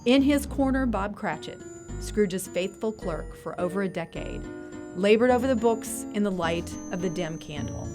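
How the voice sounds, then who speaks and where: A woman narrates an audio drama from a script. Her voice is of medium pitch, with a measured storytelling delivery. Plucked guitar music plays clearly underneath. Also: lively intonation.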